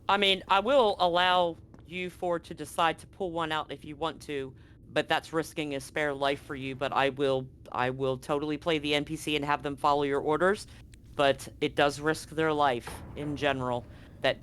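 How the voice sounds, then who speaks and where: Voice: mid-range voice, steady tone, normal speed, fairly bright tone, a little hiss or hum. A game master narrating a live-streamed tabletop roleplaying game in an informal, conversational way, thinking aloud.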